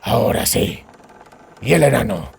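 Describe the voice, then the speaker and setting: A man voicing a gruff, curt monster hunter in an audiobook, gravelly and acidly sardonic. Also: medium pitch, very animated, a little slow, full character voice. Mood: curt, questioning. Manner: acid, terse. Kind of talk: audiobook character dialogue.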